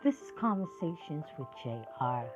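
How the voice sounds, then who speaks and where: A sixty-year-old woman talking with real passion, her voice swinging high and low as she drives each point home. The sound is a little dull and lo-fi, with faint music underneath. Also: moderate pace. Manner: emphatic, preachy, lively rises and drops. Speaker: podcast host. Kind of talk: passionate monologue.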